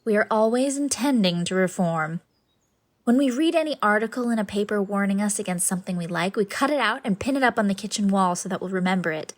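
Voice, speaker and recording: A woman's voice: mid-range voice, quick pace, natural voice. An actress playing a sweet, whimsical middle-aged lady in an audiobook drama, with effusive, playful, theatrical phrasing. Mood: playfully confiding. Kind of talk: scripted audiobook drama acting.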